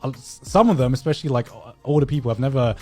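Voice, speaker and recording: A man co-hosting a casual podcast, talking in halting bursts with many restarts. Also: mid-range voice, darkish tone, faint music behind. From Thailand. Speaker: podcast co-host.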